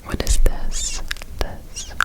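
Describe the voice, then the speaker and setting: A woman whispering an ASMR roleplay as an examiner, gentle and reassuring, repeating words softly in a breathy whisper. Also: very slow. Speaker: ASMR performer playing an examiner.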